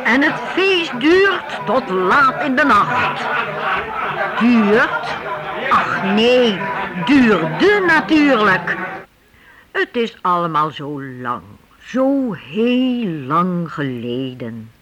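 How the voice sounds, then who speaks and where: An expressive, reflective narrator telling a scripted historical radio drama with emphatic phrasing. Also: medium pitch, very slow, a loud crowd, heavy background noise.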